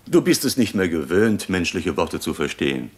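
A stern, moralizing friend with a low voice and very animated intonation. The delivery is emphatic and admonishing, in the style of 1950s German radio drama. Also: male voice, normal speed. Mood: reproachful, urgent. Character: stern, reproachful male friend, urgent and moralizing.

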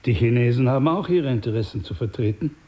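Casting: man playing older paternal crime boss, radio drama. Mellow, measured, condescending, quietly menacing. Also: mid-range voice, animated, natural voice. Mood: quietly threatening. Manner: condescending, measured, smooth-talking.

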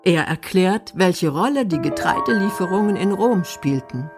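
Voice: medium pitch, animated, moderate pace, over music. A narrator reading scripted commentary for a radio documentary.

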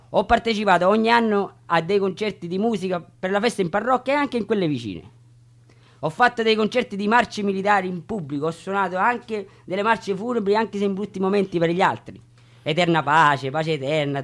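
A man's voice: high voice, fairly bright tone. A man reading his life story aloud in a plain, earnest way, stumbling over words. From Reggio Calabria, Italy. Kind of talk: autobiographical monologue, read aloud.

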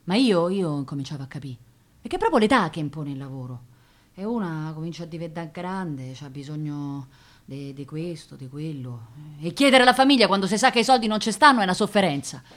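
An actress plays a young working-class woman from a radio play, with a Roman accent and a slightly low voice. She is plainspoken, proud and quick to take offence, repeating words as she confides in colloquial, emotional speech. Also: lightly acted. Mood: plaintive, earnest. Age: young adult. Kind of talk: theatrical radio drama monologue.

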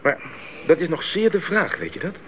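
Man acting hearty idealistic missionary, lively pitch. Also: normal speed, natural voice. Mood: good-humoured. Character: idealistic, hearty young male missionary and ex-boxer. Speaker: actor in a radio play. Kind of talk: Dutch radio drama acting. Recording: muffled tinny old radio recording, faint noise.

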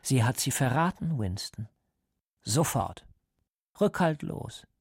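Creaky-voiced, coldly calm interrogator. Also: male voice, medium pitch, dry recording, studio quality, full character voice. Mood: cold, certain. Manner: measured, controlled, didactic. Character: coldly calm male party interrogator, menacing and paternal. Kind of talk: radio drama acting.

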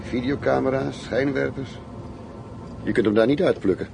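A man's voice: mid-range voice, normal speed, creaky, darkish tone, slight background noise. A man acting in a radio play as a guarded, world-weary undercover police agent, terse, detached and cynical. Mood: cool, reluctant.

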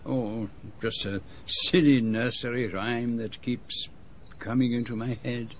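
An actor playing a shrewd, courteous middle-aged gentleman detective with a French-speaking European accent, in formal, precise phrasing for radio drama. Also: mid-range voice, steady tone, slow pace, slight background noise. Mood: polite, grave. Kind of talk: radio drama dialogue and narration.

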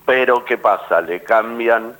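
A man's voice: mid-range voice, a little slow, a bit bright. A man with an Argentine accent talking casually as an actor being interviewed, often repeating words as he forms his thoughts. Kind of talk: casual radio interview talk.

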